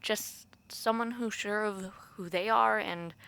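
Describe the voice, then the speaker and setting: A woman's voice: mid-range voice, fairly even intonation, takes it slowly, gravelly, sharp tone, clean tone, heavily acted. A young woman playing a thoughtful, romantic university student who confides hesitantly in a friend, in an intimate, conversational audio roleplay. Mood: musing, casual.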